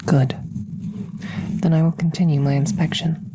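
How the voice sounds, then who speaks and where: A woman playing a calm, curious alien scientist in an ASMR roleplay, speaking softly and close up in measured, formal, clinical phrases. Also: sits low, background noise, close to an everyday voice. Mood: curious, probing. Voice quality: soft, breathy close whispering. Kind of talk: whispered ASMR audio roleplay. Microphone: close-up microphone.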